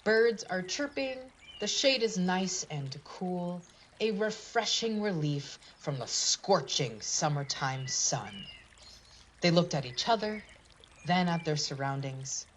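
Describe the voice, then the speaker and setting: A woman's voice: low-pitched, expressive, slow, slight background noise. A woman narrating a scripted storybook tale for a puppet show in a dramatic fairy-tale manner.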